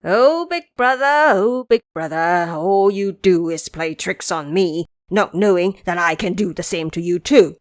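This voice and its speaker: A woman's voice: lowish voice, a little slow, few pauses, no room sound, clean studio sound, natural voice. A woman doing a whiny, joking pig disciple. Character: lazy greedy male pig disciple.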